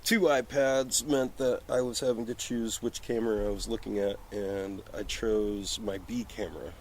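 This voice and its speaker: A man's voice: on the low side, fairly even intonation, moderate pace, a little hiss or hum. A man in casual conversation as a podcast guest talking about film work, slightly slurring his words, recorded outdoors on a porch. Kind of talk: casual chat.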